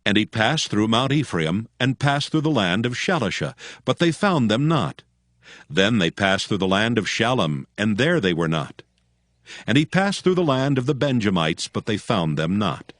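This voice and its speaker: Guy narrating the bible, lowish voice, measured but lively, dry studio. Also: no room sound. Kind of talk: scripted scripture narration.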